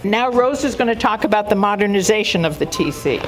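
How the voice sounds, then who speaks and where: A woman's voice: medium pitch, lively intonation, a little fast, noisy background. Older woman reminiscing at a conference. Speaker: conference speaker. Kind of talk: conference talk. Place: conference hall.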